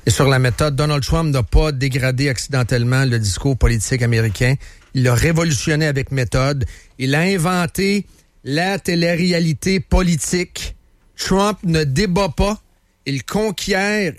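A man's voice: fairly high pitch, dry recording. A Québécois man hosts a talk radio show in the studio, teasing his guests. He stretches out key words when he wants to make a point. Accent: Québécois French. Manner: joking, teasing, slows down to stress points. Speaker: radio host. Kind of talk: casual talk radio banter.

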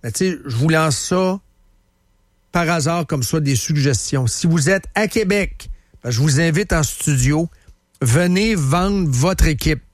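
A Quebec radio host with a slightly raised pitch, chatty and emphatic, who often repeats his points. The studio sound is dry and close. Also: expressive, a little slow, no echo. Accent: Quebec French. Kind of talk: casual radio talk.

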